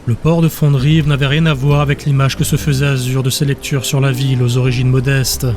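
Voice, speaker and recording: A man's voice: medium pitch, flat delivery, fairly quick, darkish tone. A man narrating a scripted fantasy audiobook in a dramatic storytelling style. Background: music.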